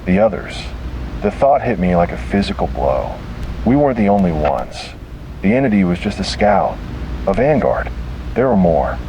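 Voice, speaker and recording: Casting: male horror narrator, deep register, grave and measured delivery in short deliberate phrases, over a noisy recording. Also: big pitch swings, mellow. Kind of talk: scripted first-person horror story narration.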